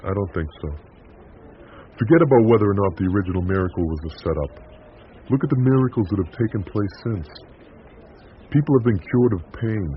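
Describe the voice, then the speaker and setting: An actor playing an earnest, forgiving priest in a 1980s radio drama, calm and sincere in his delivery. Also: fairly low pitch, brisk, pauses often, natural voice. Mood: earnest, solemn. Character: earnest, forgiving male American priest, steadfast believer. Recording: muffled, noisy old radio broadcast recording.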